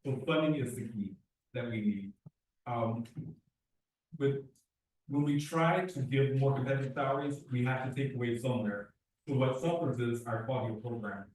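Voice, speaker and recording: A man's voice: medium pitch, darkish tone. Earnest man speaking in an echoey hall. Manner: earnest, talks fast with restarts. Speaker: youth program director. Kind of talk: public meeting testimony. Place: community board meeting room. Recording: echoey room, far from the mic.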